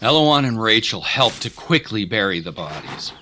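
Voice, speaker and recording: A man's voice: lowish voice, big pitch swings, moderate pace, loud music behind the voice. A man narrating an audio drama in a dramatic, scripted storytelling style.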